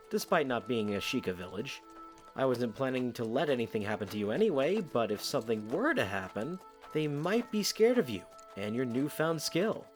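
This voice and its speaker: An actor playing a devoted, modest young male knight with a casual, gently teasing manner in a fan audio drama. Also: mid-range voice, natural voice.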